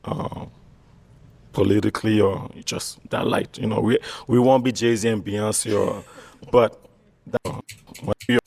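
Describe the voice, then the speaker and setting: Young guy w/ chadian french accent, kinda husky n gravelly, keeps restarting his sentences. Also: lowish voice, fairly even intonation, measured pace, a little mellow, dry recording. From Chad. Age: young adult. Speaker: contestant on a dating show. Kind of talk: casual chat.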